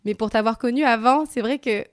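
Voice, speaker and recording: A woman's voice: a little high, fairly quick, no room sound, clean studio sound. A woman hosting a podcast, chatting casually with a friend and guest, with frequent small restarts. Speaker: podcast host. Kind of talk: casual interview conversation.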